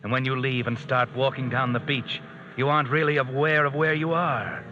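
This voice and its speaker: An actor playing an ominous, insinuating narrator in old-time radio suspense style, addressing the character directly. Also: male voice, mid-range voice, fairly even intonation, moderate pace, background noise, lightly acted. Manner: insinuating, ominous, second-person. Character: ominous unseen narrator addressing the doomed man. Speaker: narrator of a mystery series. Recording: dull vintage radio recording.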